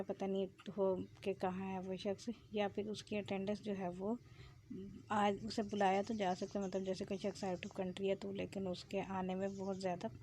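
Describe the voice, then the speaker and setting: Woman lecturing in flat, mellow Pakistani accent. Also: medium pitch, slight background noise. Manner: mixes English legal terms into speech. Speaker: law lecturer. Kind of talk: explanatory lecture, reading legal text and explaining it.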